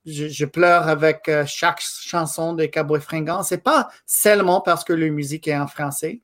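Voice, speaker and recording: A man in his thirties, a native English speaker from Quebec, giving a guest interview in a thoughtful, halting way. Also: fairly high pitch, steady tone, a bit bright. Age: 30s. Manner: thoughtful, halting, with frequent pauses and restarts. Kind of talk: casual interview conversation.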